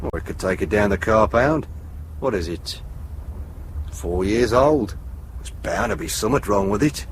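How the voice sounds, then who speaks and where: A frank, easygoing British police sidekick played by a man in a radio drama. He is casual and wry with very lively intonation, over faint vehicle noise. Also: fairly low pitch, moderate pace, long pauses, natural voice. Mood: sly, wry. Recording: noisy ambience with vehicle sound.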